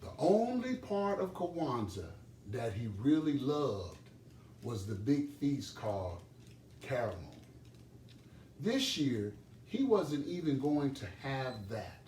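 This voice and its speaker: A man reading a children's storybook aloud as an expressive storyteller. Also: mid-range voice, slow. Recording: roomy, distant microphone, background noise.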